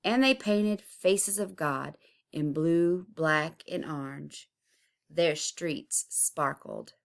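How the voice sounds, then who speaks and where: A woman reading a children's picture book aloud expressively, with deliberate, dramatic emphasis. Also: low-pitched, vocal fry. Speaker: storybook reader.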